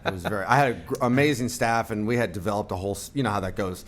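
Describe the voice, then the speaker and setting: Low-ish male guest, rambling and humble, casual podcast chat, faint room hiss. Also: rapid-fire. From United States. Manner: rambling, self-deprecating, many fillers and restarts. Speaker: guest, percussion company representative.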